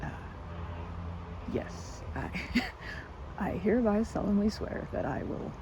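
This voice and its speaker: A woman's voice: medium pitch, lively intonation, slow pace. A woman playing a timid, sweet, awkward young woman in an ASMR roleplay, stammering and hesitant, with a giggly, flustered manner. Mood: bashful, amused. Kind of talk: ASMR roleplay, improvised-sounding one-sided conversation. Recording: muffled with loud outdoor ambience noise.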